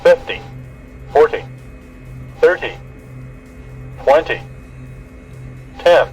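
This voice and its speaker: A man voicing an automated cockpit warning system, with terse, flat, insistent altitude callouts. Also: on the high side, extremely slow, over music, low-quality recording.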